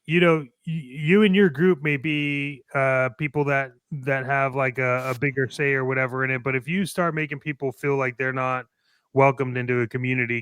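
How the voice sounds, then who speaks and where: A man with a clean, resonant voice of medium pitch co-hosts an informal show. His delivery is conversational and halting, with words repeated as he works toward a point. It is recorded dry. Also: a bit bright, smooth, no echo. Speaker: show co-host. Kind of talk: casual chat about toy collecting.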